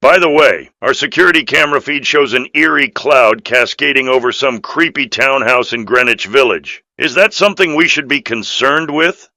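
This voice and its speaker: Man acting bright-voiced, firm police officer. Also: mid-range voice, moderate pace, hardly any pauses, no room sound. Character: earnest New York police officer, man, dutiful and firm. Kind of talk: video game dialogue.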